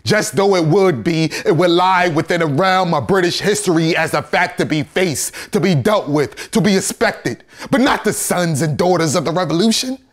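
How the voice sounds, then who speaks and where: A male actor performing a theatrical monologue as a bitter, righteous condemned rebel, in an accusatory, oratorical manner that swings between hushed and thundering. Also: mid-range voice, expressive, a little slow. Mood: thundering outrage.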